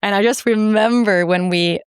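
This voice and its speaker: A singer-songwriter woman from Norway, chatting casually in an interview, laughing easily and filling pauses with ums and likes. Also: mid-range voice, clean tone, dry recording, studio quality. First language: Norwegian.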